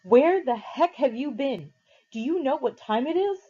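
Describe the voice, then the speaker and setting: A woman's voice: fairly high pitch, lively intonation, brisk, soft background music, pitched above the performer's own voice. A woman voicing a stern young man who scolds his little brother at the top of his voice, on a dull lo-fi recording. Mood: angry scolding. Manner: shouting, scolding.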